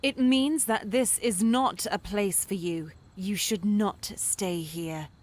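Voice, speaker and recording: A woman voicing an eerie, cryptic, detached young woman in a horror video game, speaking in a deadpan, deliberate way. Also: medium pitch. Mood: ominous, detached.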